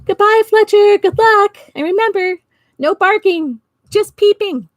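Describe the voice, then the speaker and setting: A woman voicing friendly storybook animals, a beaver and otter, calling out loudly in short phrases. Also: extremely high pitch, measured pace, dry recording, pitched above the performer's own voice. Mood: cheery, urging.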